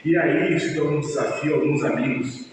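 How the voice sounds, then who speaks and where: A man's voice: fairly high pitch, moderate pace. A man from São Paulo, speaking earnestly as an activist interviewee, tagging sentences with "né" and restarting phrases. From São Paulo, Brazil. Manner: earnest, with frequent "né" tags and restarts. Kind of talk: reflective personal interview. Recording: echoey, long-reverb room, mic far off, faint hiss.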